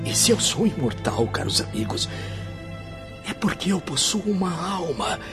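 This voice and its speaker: An actor playing a tormented, repentant former bohemian in a religious radio drama, speaking in a solemn, theatrical, confessional way. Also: male voice, a little high, very animated, moderate pace, over loud music. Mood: solemn conviction.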